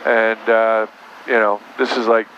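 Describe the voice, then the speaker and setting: A man's voice: on the low side, unhurried. A man, an airline captain, chatting casually in the cockpit in a plain-spoken, explanatory way. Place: small turboprop aircraft cockpit in flight. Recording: dull muffled audio, steady aircraft engine noise. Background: aircraft engine drone.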